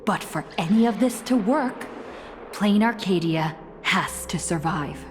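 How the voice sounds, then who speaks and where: A woman playing a resolute, solemn young supplicant in a video game, earnest and deliberate, with reverb and faint ambience. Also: mid-range voice, animated, soft background music, natural voice. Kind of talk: scripted video game voice acting.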